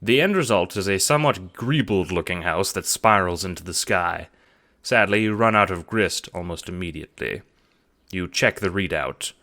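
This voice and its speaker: A man narrating an audiobook-style drama in the second person with an expressive storytelling delivery. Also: sits low, moderate pace.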